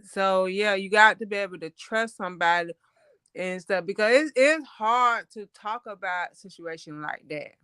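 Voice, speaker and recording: Bright, clear Southern woman giving advice. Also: mid-range voice, normal speed, no echo. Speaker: panel guest. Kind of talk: casual advice talk.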